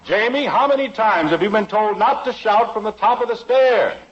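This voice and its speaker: An actor playing an earnest, stern, principled middle-aged American father in a measured, lecturing 1950s radio drama style. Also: high-pitched, slightly rough, lo-fi sound. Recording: noisy vintage 1950s radio broadcast.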